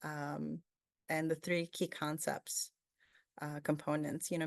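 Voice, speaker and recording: A woman's voice: low voice, gravelly, dry recording, clean studio sound. A woman from Peru giving a webinar presentation, thoughtful and full of fillers, often asking "right?".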